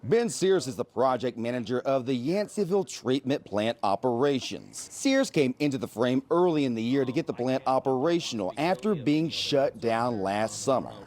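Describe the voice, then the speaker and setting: A male TV reporter with a medium-pitched voice. He speaks in scripted news cadence, stressing key phrases with punch, as in a field report or live shot. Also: expressive, moderate pace, hardly any pauses, a little mellow. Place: mostly outdoor standups on location, some voice-over.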